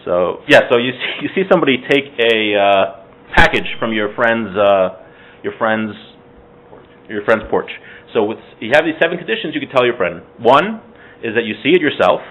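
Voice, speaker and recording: A man's voice: medium pitch, animated, moderate pace, a bit bright. A man giving an informal religious class as its teacher, conversational, explaining texts with everyday examples. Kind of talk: informal religious lecture with Hebrew source readings translated. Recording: muffled phone-like audio with faint background noise.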